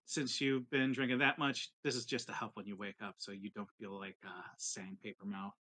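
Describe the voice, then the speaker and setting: A man's voice: fairly low pitch, level tone, moderate pace, sharp tone. A man playing a tabletop roleplaying game, talking casually.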